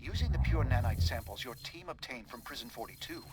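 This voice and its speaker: Man as an analytical scientist hero, loud noise behind. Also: mid-range voice, animated, fairly quick, close to an everyday voice. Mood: matter-of-fact. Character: analytical male superhero scientist explaining enemy technology. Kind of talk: scripted video game mission briefing.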